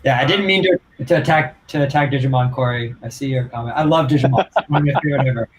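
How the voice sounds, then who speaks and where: A man's voice: medium pitch, fast talker, poor audio. A man from Toronto, a band member, chatting casually and rambling with many fillers. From Toronto, Canada. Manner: rambling, lots of "like" and restarts. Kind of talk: casual livestream chat.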